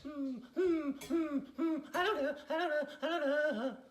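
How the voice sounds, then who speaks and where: A performer voicing an excitable, giggly little girl puppet who hosts a kids' show, gushing and repeating exclamations. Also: sits high, unhurried. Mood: pondering, playful. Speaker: puppet show host for kids. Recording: roomy echo, faint music.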